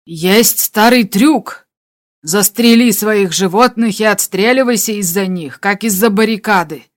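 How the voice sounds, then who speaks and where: An audiobook figure of a male spaceship crewmate, acted by a woman, talking over the radio at a middling pitch. He is concerned but steady, giving earnest and urgent advice. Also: measured pace, close to an everyday voice. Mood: urgent advising. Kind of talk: audiobook character dialogue.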